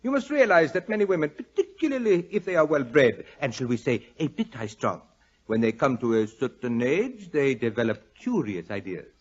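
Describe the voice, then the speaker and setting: Condescending French husband, French accent, pompous and smooth, animated pitch, 1940s radio play, dull recording. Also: male voice. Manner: smooth, pompous, halting foreign phrasing. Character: suave, condescending French husband, worldly violinist.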